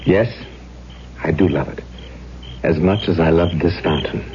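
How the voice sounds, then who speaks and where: An actor playing a courtly, wistful, mysterious gentleman in a radio drama, with hushed, poetic, theatrical phrasing. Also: low-pitched, unhurried. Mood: wistful, sombre. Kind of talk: 1970s radio drama acting. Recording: muffled, noisy 1970s radio recording.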